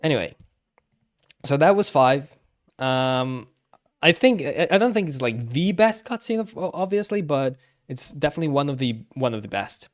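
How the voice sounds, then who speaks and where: A man livestreaming casual commentary on game cutscenes, talking haltingly and often repeating himself. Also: a little high, big pitch swings. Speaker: streamer.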